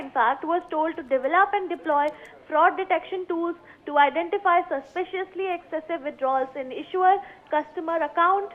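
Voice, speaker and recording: A woman with an Indian accent giving a live news report, reading formally and haltingly from notes. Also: extremely high pitch. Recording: narrow, phone-like sound.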